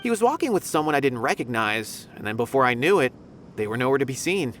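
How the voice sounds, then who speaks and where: A man's voice: medium pitch, very animated, fast talker, fairly bright tone, a little hiss or hum. An actor playing a polite, earnest male academy officer who reports courteously, in a video game cutscene. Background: game music.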